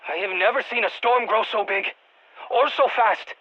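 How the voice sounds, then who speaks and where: A woman voicing an eager, geeky field scientist in a video game, with a Latin American Spanish accent, talking over radio comms in an expressive, quirky way. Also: mid-range voice, normal speed, muffled recording. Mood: excited. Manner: expressive, quirky, nerdy enthusiasm. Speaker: mission guide scientist.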